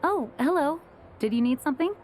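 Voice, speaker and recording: Earnest young leader, highish voice. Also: female voice, lively intonation, a little fast, faint music behind. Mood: wistful. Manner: gentle, formal, thoughtful. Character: earnest, courteous young female leader, reserved and caring. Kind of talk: video game character acting.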